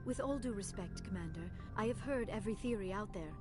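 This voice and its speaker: A woman's voice: medium pitch, lively intonation, fairly quick, lightly acted. A woman voicing a young female alien scientist in a video game, earnest, formal and precise in her phrasing. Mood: earnest, explaining. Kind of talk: scripted game dialogue. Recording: music behind the voice.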